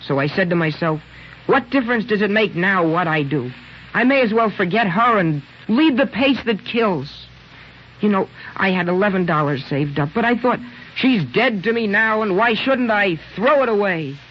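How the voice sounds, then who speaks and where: A man's voice: high voice, animated, moderate pace, a little hiss or hum. An actor playing a lovesick, boastful sixteen-year-old American boy in an old-time radio drama, earnest and theatrical, with hesitant exclamations. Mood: wounded, dramatic. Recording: dull lo-fi old radio broadcast.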